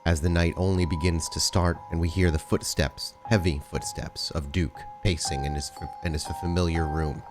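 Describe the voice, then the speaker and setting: A man with a low voice hosts a tabletop role-play podcast. He moves between casual host chat and storyteller narration, with music clearly audible behind him. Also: fairly even intonation, normal speed, few pauses.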